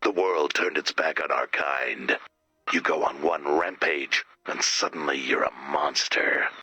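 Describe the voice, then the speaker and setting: Monster killer, rock-bottom pitch, raspy growl, slow, sullen, plaintive, game character. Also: male voice, sharp tone, no room sound, faint music behind, exaggerated voice. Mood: wounded, brooding. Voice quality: gravelly, rasping growl. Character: monstrous male slasher killer, brooding, wounded, easily hurt. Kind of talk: video game character acting.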